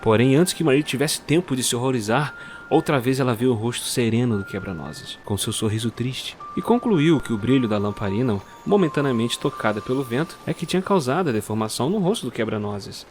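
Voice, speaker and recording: A man narrating a dark Christmas tale in a measured, suspenseful manner that speaks directly to the listener. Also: mid-range voice, lively intonation, slight background noise. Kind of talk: scripted storytelling narration.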